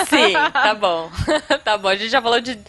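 A woman's voice: fairly high pitch, lively intonation, takes it slowly, a bit bright, faint music behind. A woman hosting a podcast, reading listener emails aloud with frequent laughter. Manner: laughing often, chatty, reads emails aloud. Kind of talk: casual chat.

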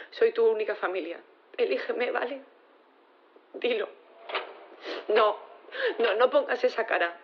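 Audio drama wife: high-pitched, pleading, insistent, devoted, slightly dull sound. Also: female voice. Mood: tender, pleading. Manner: emotional, insistent, repeating words.

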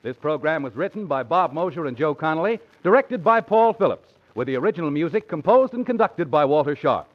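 A man's voice: a little high, sing-song, fairly quick. A man with an American accent announcing credits and adverts in 1940s radio style. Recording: muffled 1940s radio recording with music.

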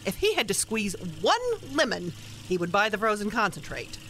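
A woman's voice: mid-range voice, big pitch swings, normal speed, a bit bright, slight background noise. A woman playing a bossy, teasing older grandmother in a radio play, dryly exasperated with her husband and scolding in a no-nonsense way. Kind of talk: small-town radio play acting.

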